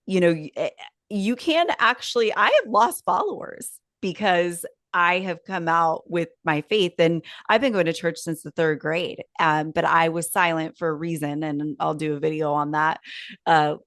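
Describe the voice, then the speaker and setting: A woman's voice: sits low, normal speed, no echo, clean studio sound. A woman hosting an earnest, casual conversation about faith, asking her guest questions. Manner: earnest, warm affirmations, speaks of faith openly. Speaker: host.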